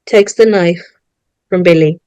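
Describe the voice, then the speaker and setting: Woman reading out stage directions for a script, slow, really dry close sound. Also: lowish voice, dark tone, clean tone, no echo. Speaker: narrator.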